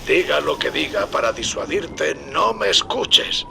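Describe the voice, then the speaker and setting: Very gravelly, doomed game scientist. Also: male voice, on the high side, sing-song, a little slow, background noise, heavily acted. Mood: resigned. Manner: polite, resigned, formal. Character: doomed, remorseful male scientist pleading for his death. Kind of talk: video game dub acting, recorded message.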